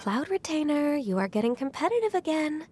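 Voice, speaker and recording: A woman playing a gentle, polite young woman in a video game. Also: high-pitched, sing-song, fairly quick, big-room sound, a little hiss or hum. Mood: gently chiding. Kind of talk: scripted game dialogue.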